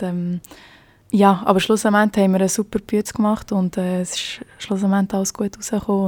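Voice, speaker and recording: A woman's voice: mid-range voice, a little mellow, no echo. A young woman from Switzerland with a Swiss German accent, a police officer telling of her work in a podcast interview, hesitant and full of fillers.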